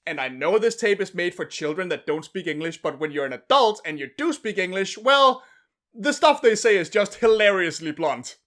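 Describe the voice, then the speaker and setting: A man in his thirties from Denmark, speaking English, giving a sarcastic, animated scripted review. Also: high voice, moderate pace, faint music behind. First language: Danish. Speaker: video reviewer.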